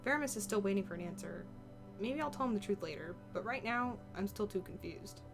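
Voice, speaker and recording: A wary, secretive heroine from a visual novel, read out by a female player. She thinks aloud in a hesitant, brooding way at a middling pitch, over clearly audible music. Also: expressive, a little fast. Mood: conflicted, brooding.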